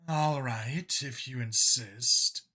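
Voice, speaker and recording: An easygoing female alien officer, acted by a male narrator, talks in a mild, deferential way. The delivery is unhurried and mid-pitched, as storybook character dialogue. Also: pushed and bright, husky, exaggerated voice. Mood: mild, resigned.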